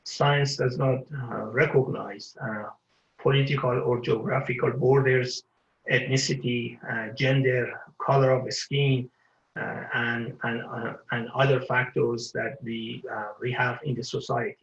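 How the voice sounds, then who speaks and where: An older man from Iran giving an award acceptance speech, halting and full of filler pauses. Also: medium pitch, little pitch movement, creaky, reverberant, dull audio. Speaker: award recipient.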